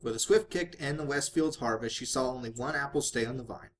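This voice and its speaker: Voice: mid-range voice, quick pace, slight background noise. An amateur reader narrating a story aloud in the manner of a home-made audiobook. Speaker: fan fiction reader.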